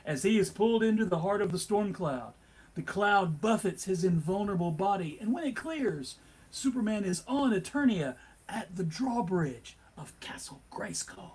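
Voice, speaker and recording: Male narrator, high-pitched, husky, dramatic scripted comic book reading. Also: expressive, moderate pace, a little mellow.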